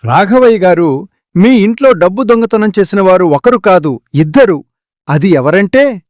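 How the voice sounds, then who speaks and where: Man voicing an excitable high-pitched villager. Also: a little slow, no room sound. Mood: excited.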